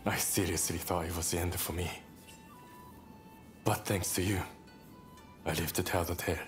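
An actor playing a courteous wounded samurai in a video game, speaking in an earnest, polite, measured manner. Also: male voice, sits low, fairly even intonation, natural voice. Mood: grateful. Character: grateful, courteous wounded samurai activist recovering. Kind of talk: dramatic video game cutscene acting. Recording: game dialogue over clear background music.

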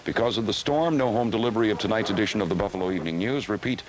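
Low-pitched American male radio host. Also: racing, background noise. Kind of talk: live storm announcements on 1970s radio. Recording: 1970s radio aircheck on cassette.

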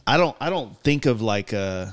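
A man's voice: on the low side, expressive, normal speed, smooth. A man of about forty hosting a casual podcast chat, swearing freely and rambling as he interrupts himself, close on a podcast mic. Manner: profane, rambling, self-interrupting. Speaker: podcast host. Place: garage workshop, indoors. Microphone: podcast microphone on boom arm, close.

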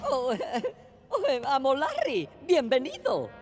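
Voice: sits high, wildly swooping pitch, takes it slowly, over loud music, exaggerated voice. A performer voicing a prim, devoted male butler in a Latin American Spanish cartoon dub, formal and admonishing. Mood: flustered. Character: fussy, devoted asparagus butler, mature male. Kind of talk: animated cartoon dubbing.

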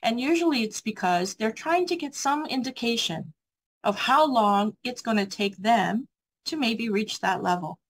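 A woman's voice: fairly high pitch, expressive, a little slow, husky. Encouraging woman giving a seminar talk. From USA. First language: English. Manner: earnest, encouraging, asks the audience rhetorical questions. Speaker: seminar speaker. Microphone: video call mic.

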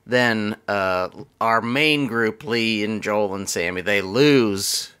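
A man in his thirties co-hosting a casual movie podcast from a home room on a studio mic, animated and jokey. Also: fairly low pitch, slow, clean tone. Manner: animated, jokey, drifts into silly bits. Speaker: podcast co-host. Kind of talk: casual movie review chat. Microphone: studio mic with headphones.

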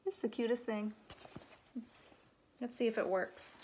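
A woman, around her thirties, reading a children's picture book aloud like an elementary teacher, with expressive, deliberate delivery and explanatory asides. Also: on the high side, background noise. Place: living room at home.

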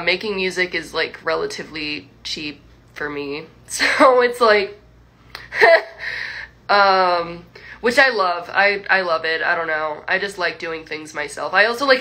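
A woman's voice: on the low side, a little slow, bright, forceful tone. A young woman livestreaming from home, chatting to fans in a rambling, laughing way full of likes and restarts. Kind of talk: casual chat with viewers. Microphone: phone livestream.

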